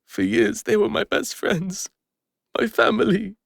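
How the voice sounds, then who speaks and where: A gentle lone ranger acted by a man with an English accent, in an intimate audio roleplay. His voice sits a little high for a man, with a crackly edge. He speaks haltingly and bashfully, like a soft-hearted former healer confiding in someone close, in a very dry, close recording. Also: sing-song, gravelly, no room sound, full character voice. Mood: vulnerable, regretful. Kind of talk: intimate scripted audio roleplay addressed to the listener.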